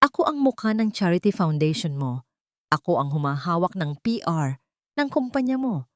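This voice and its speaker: A woman's voice: lowish voice, big pitch swings, normal speed, dry recording, studio quality. A woman voicing a manipulative, sarcastic scheming fiancée in a dramatic audio story. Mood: sneering, defiant. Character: scheming fiancée, fake-tearful pleading turning cold and sneering.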